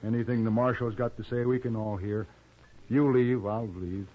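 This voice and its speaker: An actor playing a cold, taunting hired gunman in a 1950s radio western, speaking in terse, unhurried lines. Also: mid-range voice, fairly even intonation, natural voice. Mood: smug, taunting. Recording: muffled, noisy 1950s radio recording.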